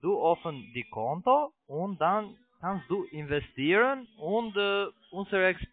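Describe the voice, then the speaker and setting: A man with a foreign accent, not a native speaker, making a halting sales pitch over the phone in broken sentences with repeated restarts. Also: sing-song. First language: not German. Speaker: phone sales agent, investment scam caller. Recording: tinny narrow phone line.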